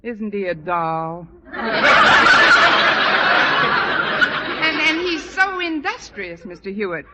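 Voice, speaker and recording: An actress playing a wry, quick-thinking high school teacher, dry and wisecracking, in a 1940s radio sitcom. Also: female voice, sing-song. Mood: flustered cheer. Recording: muffled, noisy vintage 1940s radio broadcast.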